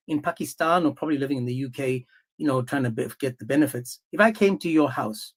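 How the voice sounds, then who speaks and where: A male live-stream host with a slightly high-pitched voice. He taunts and badgers his guests, cutting in over them in a confrontational way. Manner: mocking, confrontational, talks over others. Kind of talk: heated live-stream debate.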